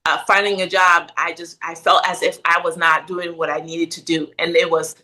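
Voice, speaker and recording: Middle-aged woman from Ghana, podcast guest, animated and conversational, with a bright tone. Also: fairly low pitch, moderate pace. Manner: animated, frequent "right?" tag questions. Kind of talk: casual interview chat.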